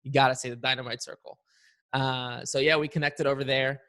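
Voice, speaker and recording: A man's voice: medium pitch, flat delivery, a little fast, pushed and bright, no echo. Young guy hosting a podcast, chatty, lots of likes and uhs, super clean dry mic. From Bulgaria. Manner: upbeat, chatty, many fillers like "uh" and "like". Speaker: podcast host. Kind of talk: casual interview chat with ad read.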